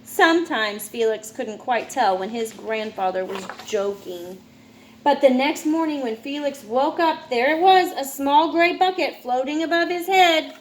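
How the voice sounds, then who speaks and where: High-pitched, unhurried woman reading a picture book. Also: a little hiss or hum, rough recording. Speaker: storybook reader. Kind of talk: children's picture-book read-aloud.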